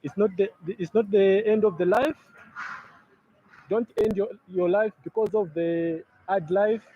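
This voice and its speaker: A young man with a Zambian accent making a spontaneous appeal, halting and repeating his phrases, in a field interview. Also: sits high, steady tone. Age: young adult. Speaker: community member being interviewed. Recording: muffled, noisy field recording with ambience.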